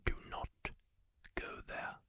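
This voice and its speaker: A performer whispering in character as a kindly masked healer, slow and hushed, in an ASMR roleplay. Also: close to an everyday voice. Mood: solemn warning. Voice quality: whispered, gravelly and breathy. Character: kindly masked healer tending a wounded wanderer.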